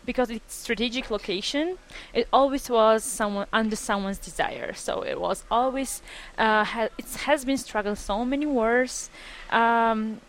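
A woman of twenty with a Georgian accent, a student guest on a radio interview, speaking earnestly with many pauses and restarts. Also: mid-range voice, a little hiss or hum. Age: 20s.

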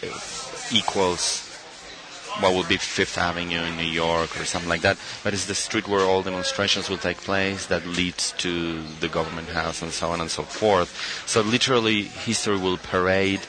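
A middle-aged man from Argentina, a guest artist in a radio interview, speaking thoughtfully with frequent pauses and fillers. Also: deep voice, fairly even intonation, noisy background. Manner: thoughtful, hesitant with many fillers.

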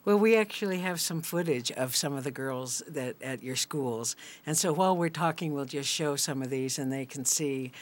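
A man's voice: mid-range voice, moderate pace, hardly any pauses. Conversational male interviewer. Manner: conversational, prompting. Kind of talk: interview questions.

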